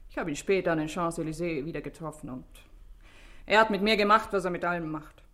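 A woman's voice: on the low side, quick pace, fairly bright tone, natural voice. An actress playing a self-assured, evasive society woman under questioning in a German radio drama, with an ironic, haughty manner.

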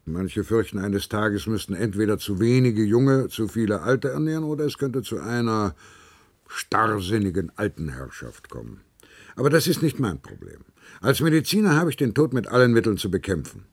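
A male actor playing a blunt, patronizing older professor of medicine in a German radio play, with a husky voice. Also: on the low side, sing-song, normal speed, no room sound. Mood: matter-of-fact, lecturing. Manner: patronizing, pragmatic, lecturing.

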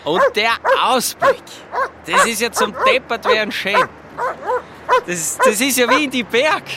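An actor playing a down-to-earth, laconic Alpine nature lad in a radio play, speaking colloquial Austrian dialect. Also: male voice, very high voice, wildly swooping pitch, unhurried, gravelly, very noisy, full character voice. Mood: amazed.

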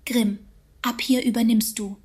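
A chatty artificial voice in a radio play. She is slightly high-pitched, sweetly over-understanding and preachy, with casual therapy-speak. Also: steady tone, measured pace, darkish tone, slightly rough. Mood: patronising. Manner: soothing, over-understanding, patronising. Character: chummy female synthetic AI voice, sweetly preachy.

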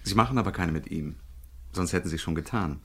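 An actor playing a jovial but calculating crime boss of about forty in a radio drama, polite and persuasive. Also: male voice, sits low, brisk, husky. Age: around 40.

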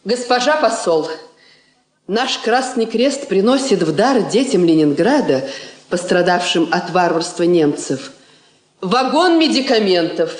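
An actress playing a genteel society countess, effusive and affectedly polite, in stage-style radio drama. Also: female voice, mid-range voice, sing-song, unhurried. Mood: gracious, effusive. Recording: echoey old studio drama recording.

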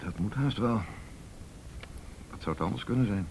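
An actor playing a blunt, world-weary police detective in a radio drama, laying things out matter-of-factly. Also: male voice, lowish voice, lively intonation, moderate pace, darkish tone, a little hiss or hum.

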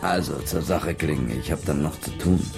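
An actor playing a slick, businesslike informant in a radio drama, brisk and familiar. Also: male voice, a bass voice, vocal fry. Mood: brisk, sly. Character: slick, businesslike male informant tipping off a drug deal by phone. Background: loud music.

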